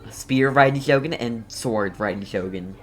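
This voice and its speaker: A player giving casual, halting commentary on a video game while playing, reacting in short murmured asides. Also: medium pitch, faint music behind. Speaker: game streamer. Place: voice-over on game footage, game music behind.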